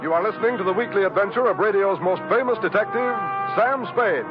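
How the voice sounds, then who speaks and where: A man's voice: high voice, fast talker, over loud music. An American man announcing in scripted 1950s network radio style. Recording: dull old radio broadcast recording.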